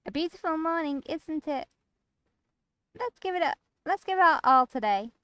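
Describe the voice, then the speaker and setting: A woman's voice: high-pitched, lively intonation, moderate pace, fairly bright tone, no room sound, pitched above the performer's own voice. A woman voicing a cheerful, pushy young female coworker in a visual novel, coaxing and sweetly teasing. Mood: bubbly, friendly. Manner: bubbly, coaxing. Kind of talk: visual novel character acting.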